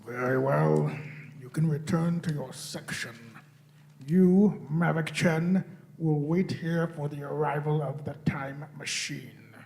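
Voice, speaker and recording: A cold alien machine commander played by a man in a sci-fi radio play. The voice is slow, fairly high and gravelly, grating out each imperious order deliberately. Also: steady tone, darkish tone.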